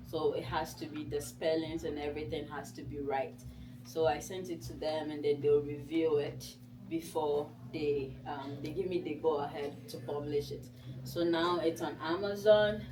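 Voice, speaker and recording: A woman with a Ghanaian accent and Nzema as her native language gives an informal personal talk as a guest author, in a conversational storytelling way. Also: low voice. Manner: conversational storytelling, voices her own past thoughts. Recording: distant mic in a room.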